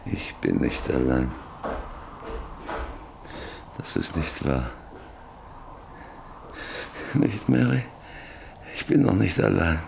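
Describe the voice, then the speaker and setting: An actor in a German radio drama playing an old, lonely rancher who broods bitterly over his life in halting, repetitive phrases. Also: sits low, sing-song, gravelly, background noise, full character voice. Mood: brooding, lonely. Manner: brooding, halting, repeating words.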